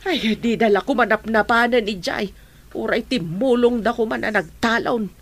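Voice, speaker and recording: A woman's voice: on the high side, moderate pace. A woman acting a worried mother of grown children in an Ilocano radio drama, fretful and pleading.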